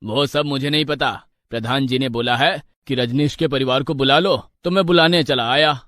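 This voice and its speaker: A man's voice: mid-range voice, steady tone, normal speed, fairly bright tone, slight background noise, close to an everyday voice. A man playing a village headman's messenger clerk with a matter-of-fact manner. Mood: brisk.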